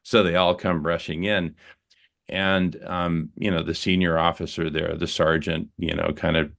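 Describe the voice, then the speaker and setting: A man sharing a personal story in a group conversation, earnest and reflective, halting with fillers and restarts. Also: sits low, little pitch movement, gravelly, fairly bright tone.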